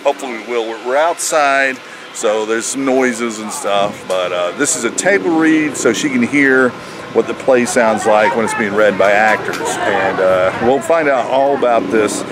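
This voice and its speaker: A man's voice: mid-range voice, normal speed. A man hosting a casual vlog, talking outdoors amid traffic noise. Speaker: vlog host, actor. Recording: noisy outdoors, traffic hum.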